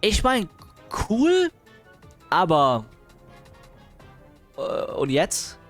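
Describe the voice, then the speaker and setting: A male streamer reacts to videos in a casual, sarcastic way, hurrying them along with chuckling asides. His voice sits slightly high for a man and swings widely in pitch. Soft music plays faintly behind him. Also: wildly swooping pitch, drawn-out words.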